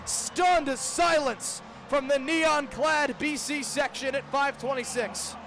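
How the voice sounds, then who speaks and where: A man's voice: very high-pitched, takes it slowly, fairly bright tone, soft background music. A young man calling live sports on the radio, describing each move in detail with dramatic phrasing. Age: young adult. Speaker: play-by-play broadcaster. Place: outdoors at a lacrosse stadium. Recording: clear outdoor stadium noise behind.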